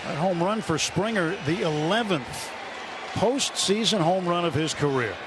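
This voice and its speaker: A man calls baseball from a stadium booth, his voice sitting a bit high. Loud crowd noise roars behind him on the radio broadcast. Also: unhurried. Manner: calls big plays with rising, shouted excitement. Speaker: play-by-play announcer.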